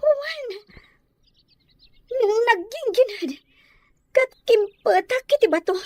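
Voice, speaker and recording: A woman's voice: extremely high pitch, animated, takes it slowly, darkish tone, full character voice. A woman playing a bewildered, injured young lady in an audio drama, plaintive and questioning. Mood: confused, pained.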